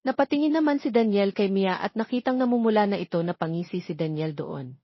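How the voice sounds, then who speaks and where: A woman's voice: medium pitch, a little fast, no room sound. Dry, slightly muffled Filipino woman narrator. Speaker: audiobook narrator. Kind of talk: scripted narration of a romance story.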